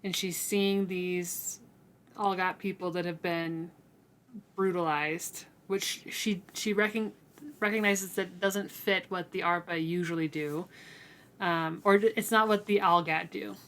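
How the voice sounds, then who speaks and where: A woman's voice: on the low side, steady tone, unhurried, a bit bright. A woman co-hosting a book discussion in a casual, tentative way, as if thinking aloud. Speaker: co-host of a book read-along podcast.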